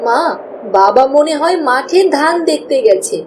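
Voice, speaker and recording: Cartoon village daughter, played by a woman, high childlike pleading voice, anxious, clear storm noise behind. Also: expressive, unhurried. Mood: chatty. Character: anxious young village girl, chatty daughter.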